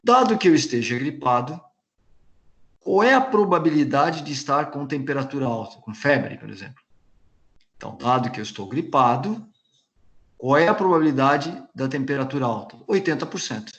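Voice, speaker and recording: A man's voice: mid-range voice, expressive, moderate pace, fairly bright tone. A man with a Brazilian Portuguese accent giving a recorded university lecture, patiently repeating and rephrasing each point, often restarting his sentences. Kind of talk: recorded lecture explaining slides. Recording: echoey room.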